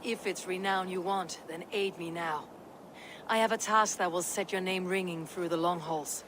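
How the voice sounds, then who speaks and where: A woman acting a stern low-voiced Viking warrior woman. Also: steady tone, background noise, natural voice. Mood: commanding. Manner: grave, measured, formal archaic phrasing. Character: stern, resolute female Viking warrior leader. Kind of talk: video game cutscene acting.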